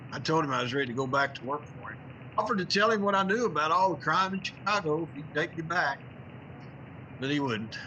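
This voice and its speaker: A wry, hard-bitten newspaperman acted by a man in the style of old radio drama. His voice is very bright and forceful and sits a little high, with colloquial wisecracks over faint background noise. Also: rough recording. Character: wry, scrappy male newspaper reporter, hard-drinking. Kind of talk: 1950s radio drama acting.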